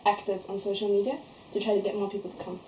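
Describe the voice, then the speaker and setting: A woman's voice: mid-range voice, little pitch movement, fast talker, dark tone, slight background noise. Young woman going for student society post, says "like" tons, sounds roomy and kinda muffled. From Malaysia. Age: young adult. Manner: chatty, lots of "like" fillers. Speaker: candidate for student society office. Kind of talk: nomination speech and Q&A. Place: student society meeting room. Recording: echoey room, dull lo-fi sound.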